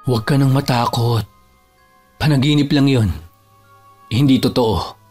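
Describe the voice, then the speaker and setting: Man playing a gentle, reassuring young boyfriend. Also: mid-range voice, fairly even intonation, measured pace, faint music behind, close to an everyday voice. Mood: soothing, concerned. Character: caring, soothing young boyfriend. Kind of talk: audio drama acting.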